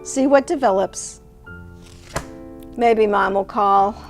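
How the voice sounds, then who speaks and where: An older woman speaking in a measured, deliberate way, as if sharing poetry with listeners. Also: medium pitch. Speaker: poet and show host. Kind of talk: dramatic poetry reading and casual conversation. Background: plucked guitar music.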